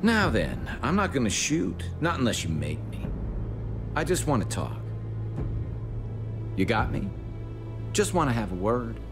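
An actor playing a shrewd, armed stranger in an apocalypse video game, with a folksy, probing way of talking. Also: male voice, mid-range voice, huge pitch swings, measured pace, pauses often. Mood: cool, controlled. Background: music.

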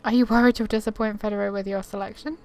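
A woman's voice: medium pitch, fairly even intonation, quick pace. A woman giving casual gameplay commentary, reading dialogue choices aloud and muttering chattily to herself. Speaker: game streamer.

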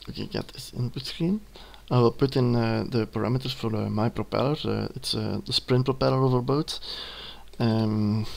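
A young man in his twenties, a native Dutch speaker with a Dutch accent, hesitantly talking through a screen-recorded software tutorial, with many ums and self-corrections. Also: mid-range voice, creaky, a little hiss or hum. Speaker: student giving a tutorial. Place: at a computer, indoors.

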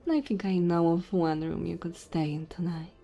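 A woman voicing a tipsy, flirty goblin innkeeper in an intimate ASMR roleplay, soft and teasing with hesitant pauses. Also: on the low side, steady tone, soft background music, close to an everyday voice.

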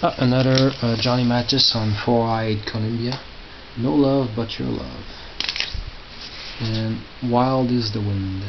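A middle-aged man giving casual, halting commentary as he reads out titles and mutters asides. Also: steady tone, creaky. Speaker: record collector showing his collection. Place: small tiled indoor room by storage crates. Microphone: handheld camera held by the speaker. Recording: muffled, noisy low-grade camera audio with clicks.

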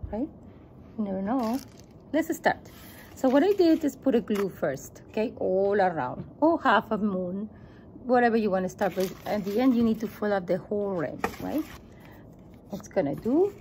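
Middle-aged woman, non-native English, children's craft host; mellow voice, faint room hiss, camera on the table. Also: mid-range voice, lively intonation, unhurried, poor audio. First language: not English. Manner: patient, encouraging, repeats herself. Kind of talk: step-by-step craft lesson for kids. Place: indoor room with table, at a desk.

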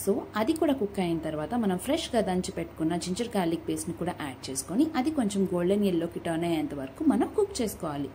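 A young woman with a Telugu accent walks her viewers through a home recipe in a friendly, chatty voice. Her voice sits low for a woman and is mellow and soft-edged, yet her pitch rises and falls a lot. A faint hum from the home kitchen sits behind her. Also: big pitch swings, very fast, hardly any pauses. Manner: friendly, mixes English words into Telugu, talks to viewers. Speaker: cooking vlogger. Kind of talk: casual recipe narration.

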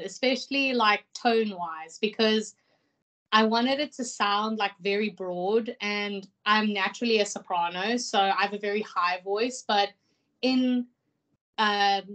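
A woman's voice: medium pitch, a bit bright. Chatty young woman on a video call. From South Africa. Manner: chatty, enthusiastic, many "like" fillers. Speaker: singer guest. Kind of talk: casual video call interview. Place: small room indoors. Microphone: video call, laptop mic.

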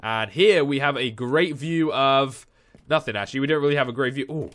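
A young man with a nasal, stuffy voice from a cold, giving excitable Minecraft commentary on a headset at home. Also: medium pitch, a little fast. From England. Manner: excitable, yelps and shouts when chased. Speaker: gaming YouTuber. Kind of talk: Minecraft let's play commentary. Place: home room.

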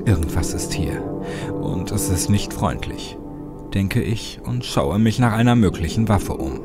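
Low-voiced man narrating horror over loud music. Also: measured pace. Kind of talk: dramatic scripted audiobook narration of a horror story. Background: loud music under the voice.

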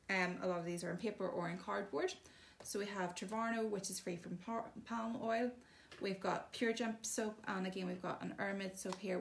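A woman's voice: medium pitch, creaky, a little hiss or hum. A woman giving a chatty, casual demonstration of bathroom products, explaining each one plainly with frequent ums. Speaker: shop presenter showing products.

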